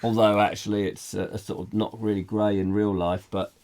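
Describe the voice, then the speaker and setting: A man hosting a comic live chat show, playful and silly, reading out viewer comments in a croaky voice. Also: lowish voice, little pitch movement, brisk, darkish tone. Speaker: host.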